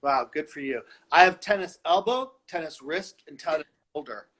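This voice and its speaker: A man's voice: fairly high pitch, expressive, moderate pace, a bit bright. A middle-aged man from Los Angeles, a designer chatting playfully from his home and laughing often. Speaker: fashion designer guest. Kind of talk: casual video-call chat.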